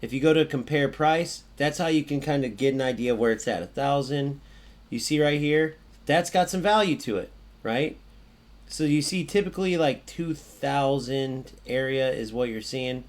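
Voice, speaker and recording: A young man with a raspy voice that keeps cracking, casually talking through a video game's trading market as a channel host, with lots of fillers and "right?" checks. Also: mid-range voice, moderate pace. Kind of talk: casual gameplay commentary.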